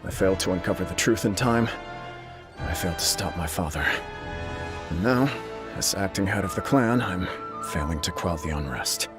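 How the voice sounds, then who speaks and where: Earnest, low-voiced young clan leader. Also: male voice, lively intonation. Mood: self-reproachful. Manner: formal, measured. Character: earnest, burdened young male acting clan head. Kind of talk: English video game voice acting. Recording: game music underneath.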